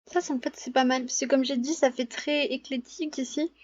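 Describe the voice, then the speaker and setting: A young woman with a cold and a hoarse voice, chatting casually over her gameplay with lots of pauses and self-corrections. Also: sits high, fairly even intonation, no echo, highs cut off. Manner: hesitant, many filler pauses and self-corrections. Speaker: gaming vlogger.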